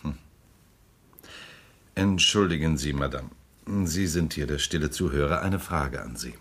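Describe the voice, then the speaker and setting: A man's voice: a bass voice, unhurried, fairly bright tone, slightly rough, exaggerated voice. A man playing a suave, amused prosecutor and politician in a radio play, ironic and self-assured, laughing often. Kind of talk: German radio play acting.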